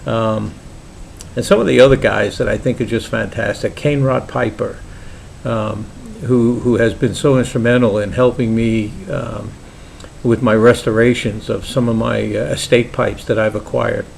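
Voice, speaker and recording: An older man with a Brooklyn accent, talking casually and haltingly about his hobby in a home vlog, with many pauses and restarts. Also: mid-range voice, steady tone, vocal fry, darkish tone, a little hiss or hum, low-quality recording. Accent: New York (Brooklyn). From Brooklyn, New York. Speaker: pipe maker vlogger.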